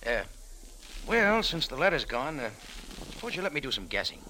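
An actor playing a tough, wry American bar owner in a clipped, sardonic 1940s radio drama style. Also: male voice, a little high, sing-song, moderate pace, bright, forceful tone, a little hiss or hum, low-quality recording. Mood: cool, probing. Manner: clipped, hard-boiled, sardonic. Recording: vintage radio recording with music.

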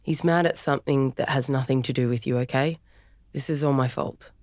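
A woman's voice: extremely low pitch, fairly even intonation, fairly quick, darkish tone, no room sound. A woman reads a young woman caught between her brother and father, pleading and upset. The recording is dry and close, with nothing behind her.

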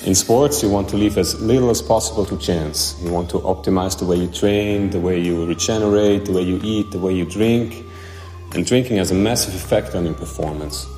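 A man from Switzerland with a very deep voice delivers an earnest, scripted sales pitch. His voice rings in a roomy space with a long tail, and soft music plays behind him. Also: a little fast. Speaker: product pitchman in a crowdfunding video. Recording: roomy echo with background music.

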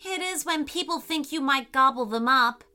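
Little storybook boy, tentative and curious, acted by a woman; high, bright voice, earnest manner. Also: normal speed, faint music behind. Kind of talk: children's storybook character voice.

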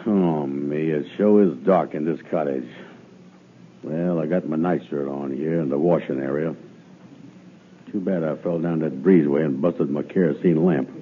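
An actor playing a slow, gullible, weary man in an old radio comedy, with a drawling, ungrammatical manner. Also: rumbling low. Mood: weary, puzzled. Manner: drawling, ungrammatical, muttering to himself. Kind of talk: 1950s radio comedy acting. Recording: narrow phone-like old radio recording, loud noise.

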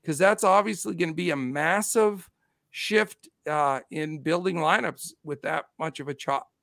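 A man's voice: on the high side, measured pace, creaky, fairly bright tone, no echo, clean studio sound. A man hosting a casual sports podcast, rattling off numbers in a chatty way while losing his voice. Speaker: podcast host.

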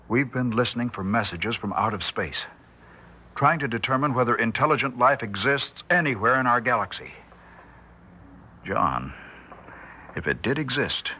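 A troubled, intense scientist in a 1960s radio drama. The voice is husky and forceful, swinging dramatically as he probes and reasons deliberately. The recording is dull and dated. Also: medium pitch, lively intonation, heavily acted. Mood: intense speculation. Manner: deliberate, theatrical, probing. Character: grave, speculating scientist doctor, troubled and intense.

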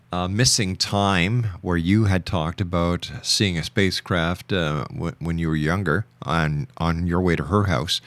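A man hosting a radio show, speaking in a deliberate announcer's delivery. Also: sits low. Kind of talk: radio hosting and scripted ad reads. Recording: music behind the voice. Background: music.

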